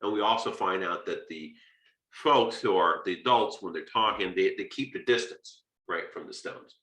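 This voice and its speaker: A man's voice: deep voice, normal speed, sharp tone. A man giving an informal, conversational literature lecture, explaining a story step by step and often checking in with "right?". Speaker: literature teacher. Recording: roomy, echoing sound.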